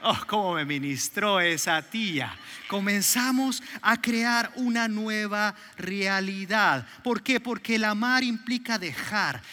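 Salvadoran man, middle-aged pastor, high and very bright, joking storyteller in a reverberant church hall. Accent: Salvadoran Spanish. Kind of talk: sermon.

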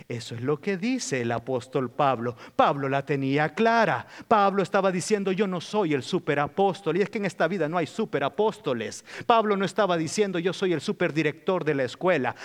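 Male pastor, sermon delivery, hushed-to-loud swings, slightly high voice, echoing church hall. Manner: dynamic preaching, swinging from hushed to loud emphatic peaks.

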